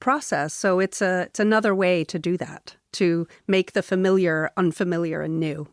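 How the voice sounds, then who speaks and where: A woman journalist hosting a literary interview, putting carefully phrased, articulate questions in a measured way. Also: fairly low pitch, steady tone, no echo, studio quality. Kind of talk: literary interview before an audience or camera.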